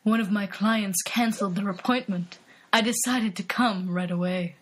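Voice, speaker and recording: A woman's voice: medium pitch, moderate pace, a bit bright, natural voice. A woman putting on a suave pool boy. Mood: smooth.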